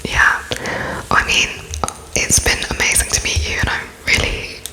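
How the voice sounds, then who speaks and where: A woman whispering in a breathy voice as the polite, hesitant interviewer in an ASMR roleplay. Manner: hesitant, halting, pausing between words as if writing notes, politely apologetic. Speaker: host playing an interviewer of an unheard alien.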